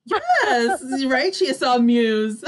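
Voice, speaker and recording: Lively lady host, kinda high voice, swoopy and agreeable in casual chat. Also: slow. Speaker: online radio show co-host.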